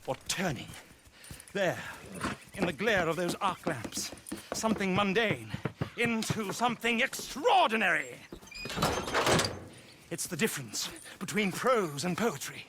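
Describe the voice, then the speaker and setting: High-pitched flamboyant British showman. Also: big pitch swings, a little slow, pauses often, very noisy, exaggerated voice. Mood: grand, persuasive. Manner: grandiloquent, theatrical, plummy. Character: flamboyant male circus showman calling himself Margaret, theatrical con artist. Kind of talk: video game cutscene acting.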